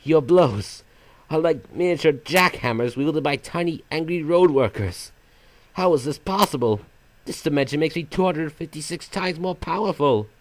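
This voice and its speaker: A man's voice: fairly high pitch, moderate pace, lightly acted. A man voicing a flamboyant magical trickster villain from a video game, half silly and half sinister, with florid, mock-polite theatrical delivery. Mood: feigned dismay. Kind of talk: fan voice-over of video game villain lines.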